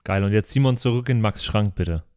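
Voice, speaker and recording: A man's voice: fairly low pitch, a little fast, darkish tone, no room sound, studio quality. A man chatting casually as a player at a tabletop game, asking about the rules. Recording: clearly noisy, crickets chirping.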